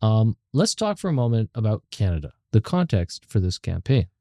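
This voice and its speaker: Mellow, low-voiced male podcast host. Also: big pitch swings, normal speed, no room sound, studio quality. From African heritage. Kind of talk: casual conversational interview.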